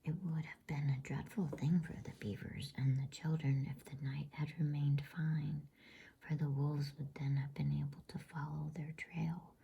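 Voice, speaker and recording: A woman reading a bedtime story as ASMR in a hushed, soothing, unhurried whisper. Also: low-pitched, vocal fry, slightly rough.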